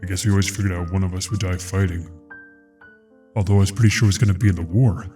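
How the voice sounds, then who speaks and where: A man's voice: deep voice, steady tone, fast talker, dark tone, recorded from a distance, faint music behind, lightly acted. A man playing a grave, terse alien warrior in an audio drama, imposing, stern and loyal. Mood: grieving.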